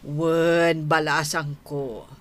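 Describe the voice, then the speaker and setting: A genial older neighbour in a radio drama. Her voice sits fairly low and she talks without hurry, pausing as she goes. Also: flat delivery, a bit bright, full character voice. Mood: friendly. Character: genial older neighbour woman, addressed as auntie. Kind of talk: Ilocano radio drama acting.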